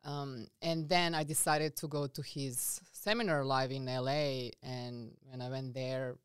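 A woman from Serbia with a Serbian accent, a guest coach telling her story in a casual interview, earnest and halting with many fillers and restarts. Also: a bass voice, fairly even intonation, clean tone, dry recording, studio quality.

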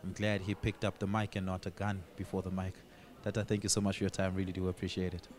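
South african guy hosting a radio interview, talks really fast. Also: low voice, completely flat delivery, darkish tone. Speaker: radio host.

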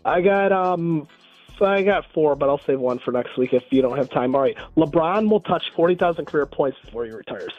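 A man's voice: on the high side, expressive, a little fast, no room sound, faint music behind. A man calling in to a radio show, rambling and repeating himself as he chats. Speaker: caller. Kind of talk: casual call-in chat. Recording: dull phone line.

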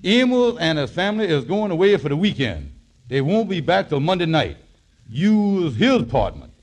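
Fast-talking schemer for 1940s radio comedy: high pitch, very animated, folksy, sly. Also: male voice. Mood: scheming. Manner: blustering, wheedling, folksy ungrammatical speech. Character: scheming, fast-talking male lodge boss and con man. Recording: vintage 1940s radio broadcast.

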